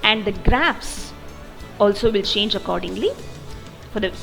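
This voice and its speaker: A woman narrating an explanatory software demo in a methodical, step-by-step way. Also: medium pitch, a little slow, creaky. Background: background music.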